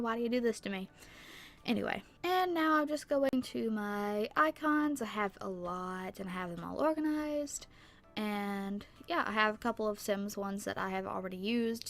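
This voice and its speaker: A young woman with a stuffy nose and sore throat, rambling chattily through a casual tutorial voice-over on a boom-arm mic. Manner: rambling, chatty, lots of fillers. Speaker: YouTuber. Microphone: microphone on a boom arm.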